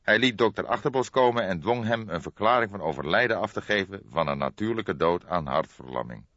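A man with a low voice narrates a crime audiobook. His delivery is measured and scripted. The sound is somewhat muffled and close, with very little room in it. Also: expressive, fairly bright tone, dry recording.

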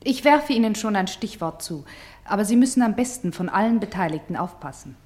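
Female play leader for radio drama, actress, medium pitch, deliberate, insistent, commanding. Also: animated, darkish tone, close to an everyday voice. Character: commanding female play leader summoning people and places to speak. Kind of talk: German radio play acting.

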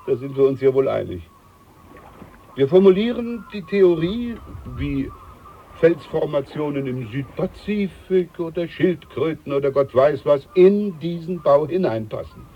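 A man's voice: a little high, a little slow, highs cut off. A man playing a jovial, scheming older ship's doctor in a German radio play, pompous, overfamiliar and emphatic.

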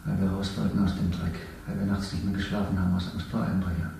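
An actor playing a worn-down stage actor under interrogation in a 1970s radio drama, defiant and bitter. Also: male voice, low-pitched, level tone, quick pace, vocal fry, dark tone, recorded from a distance, slight background noise. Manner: defiant, then bitter and weary. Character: worn-down male actor who once played a minister. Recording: echoey room, voice off-mic.

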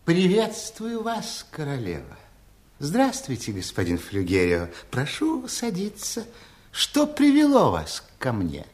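Courtly wise doctor with expressive intonation. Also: male voice, a little high, slight background noise, heavily acted. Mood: courteous. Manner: measured, courteous, formal. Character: wise, courtly doctor-magician, calm and solemn. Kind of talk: audio fairy-tale play acting.

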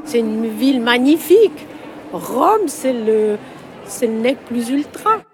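A woman tourist answering an interview in an unhurried, slightly halting way. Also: fairly high pitch, sing-song, darkish tone, slight background noise. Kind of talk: answering a vox-pop interview. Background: music.

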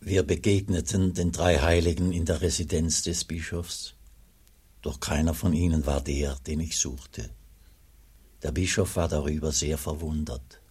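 A weary monk narrating a radio drama in the first person. The man's voice is extremely low and very husky, grave and subdued with sorrow. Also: steady tone, a little slow, darkish tone, heavily acted. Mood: weary, sorrowful. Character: weary, sorrowful monk recounting his own pilgrimage.

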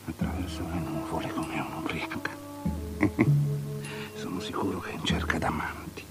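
A man's voice: very deep voice, fairly even intonation, measured pace. A capricious, decadent drunken king. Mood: drunken, obsessed. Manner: mannered, swinging from mutters and whispers to loud declaiming. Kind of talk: avant-garde theatrical radio drama acting. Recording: radio drama with music underneath.

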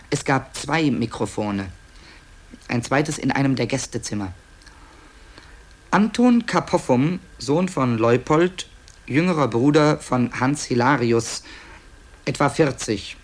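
A man narrating a radio play in a conversational way, musing and hesitating, with wry asides. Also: mid-range voice. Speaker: author-narrator presenting tapes to listeners.

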